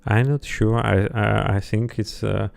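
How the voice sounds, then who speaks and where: Host: russian-speaking man, low voice, halting english, soft music behind. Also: steady tone, gravelly. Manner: hesitant, many fillers and restarts. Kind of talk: casual chat.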